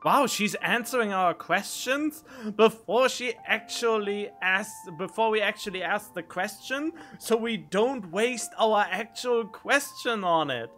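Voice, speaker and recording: A woman's voice: mid-range voice, unhurried, a bit bright. A woman giving casual let's play commentary, reacting and musing aloud. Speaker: game streamer. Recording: prominent game music behind. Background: video game music.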